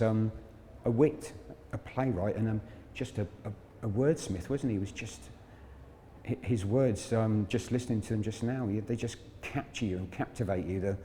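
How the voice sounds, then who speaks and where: A man's voice: fairly low pitch, mellow. A man giving an informal, explanatory history talk as a guide. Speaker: prison guide. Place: inside a large reverberant prison building. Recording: long echo, faint background noise.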